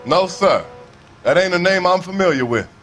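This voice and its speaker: A man's voice: sits high, expressive, moderate pace, fairly bright tone, soft background music. An actor playing a guarded, working-class American male witness in a video game drama, talking in folksy colloquial phrases. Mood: plain, helpful.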